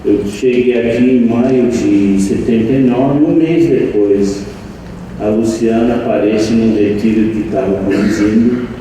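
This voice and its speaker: Older man, not a native speaker, slow, pausing often, reflective, heavy room echo, distant mic. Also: on the low side, warm tone. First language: not Portuguese. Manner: slow, halting, reflective, with many restarts. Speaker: meditation teacher and center leader. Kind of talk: anniversary speech to an audience. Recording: echoey room, distant mic, faint noise.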